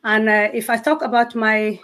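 Woman, Somali accent, English not her first language, earnest, halting, searching for words, interview guest. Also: on the high side, steady tone, a bit bright. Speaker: guest sharing testimony. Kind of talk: personal testimony in an interview.

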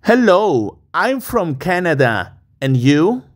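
A male English teacher from Peru with a Peruvian Spanish accent, native in Spanish. His voice sits fairly high and swings widely in melody. He takes his time, modelling each phrase carefully for learners to repeat. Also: very animated. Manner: slow, deliberate modelling of phrases. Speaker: English teacher, online course host. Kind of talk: step-by-step language lesson with repetition drills.

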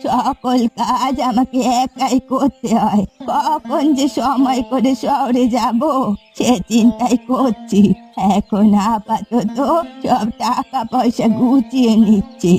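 An old village granny from a cartoon fairy tale, with a high voice. She is bossy and talkative, scolding people fondly. Light music plays underneath. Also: slow, darkish tone. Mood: matter-of-fact.

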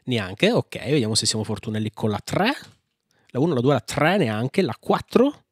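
A man from Italy hosting a casual software walkthrough, chatting informally and thinking aloud as he tries commands. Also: mid-range voice, very animated, normal speed, breathy edge, dry recording, clean studio sound. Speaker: tech tutorial host. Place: indoor room at a computer, screencast with small webcam.

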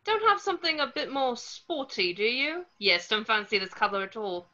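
A woman's voice: fairly high pitch, sing-song, moderate pace, sharp tone. A woman playing a cheeky young male wizard prankster, flippant and teasing, in a table read over a muffled video call.

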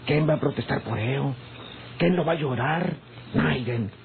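A man's voice: mid-range voice, lively intonation, unhurried. Casting: callous rural Mexican henchman, respectful to his boss, radio-play style, noisy narrow-band recording. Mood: callous, scheming. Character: cold-blooded scheming hired gunman plotting murder, deferential. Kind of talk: old radio drama acting. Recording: noisy, tinny old radio drama recording.

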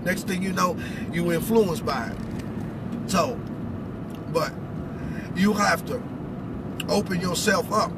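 A man's voice: high-pitched, takes it slowly, long pauses. A middle-aged American man giving an informal spiritual talk in an emphatic, instructive way, often checking in with questions. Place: inside a vehicle cab. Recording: very noisy vehicle, road noise. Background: car and outdoor noise.

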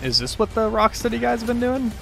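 A man's voice: very high voice, very animated, quick pace, creaky. A man co-hosting a podcast, giving casual, sardonic live reaction commentary. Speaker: podcast co-host. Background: showcase trailer audio and music.

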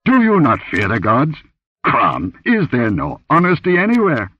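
A man voicing an angry, contemptuous barbarian warrior, barking and rumbling his words in a pulp adventure story. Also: very animated, moderate pace, breathy edge, dry recording, recorded from a distance. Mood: angry, demanding. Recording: dull lo-fi audio.

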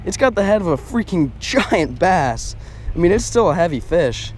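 A man's voice: high-pitched, very animated, moderate pace, a little hiss or hum. A young man chatting casually in an exclamatory, slangy fishing vlog style, often repeating his phrases. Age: young adult. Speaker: angler vlogger. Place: outdoors on a bass boat on open water. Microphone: body-worn action camera.

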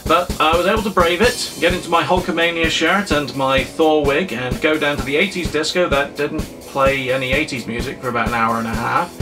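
A man in his thirties from England, telling a rambling, self-deprecating story to camera with a lot of uhs. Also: on the high side. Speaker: vlogger. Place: small room full of collectibles, indoors. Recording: distant-sounding voice over guitar music.

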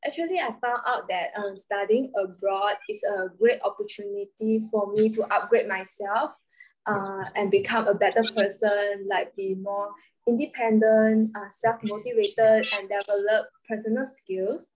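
A woman's voice: mid-range voice, fairly even intonation, moderate pace, rough recording. A young woman with a Malaysian accent answering as a student guest on a video call, earnest with small giggles. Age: young adult.

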